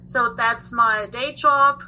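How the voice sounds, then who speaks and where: A woman's voice: fairly high pitch, fairly even intonation, slow pace, pushed and bright, lo-fi sound, a little hiss or hum. A woman from Austria, a native German speaker who speaks English with an Austrian accent, chatting casually as a podcast guest. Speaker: guest, tarot reader and English teacher.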